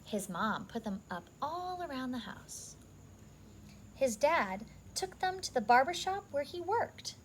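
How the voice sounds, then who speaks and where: Higher-pitched woman reading a children's story. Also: big pitch swings, unhurried, slight background noise, low-quality recording. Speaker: childcare educator reading a picture book. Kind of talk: expressive read-aloud storytelling for young children.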